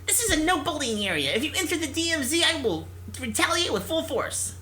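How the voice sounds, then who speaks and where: A man's voice: very high voice, very animated, fairly quick, pushed and bright, slight background noise, voice pushed up, exaggerated voice. A man voicing a brash, indignant teenage boy gamer from a visual novel, whining and protesting with exaggeration. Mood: defiant. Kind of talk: visual novel character read-aloud.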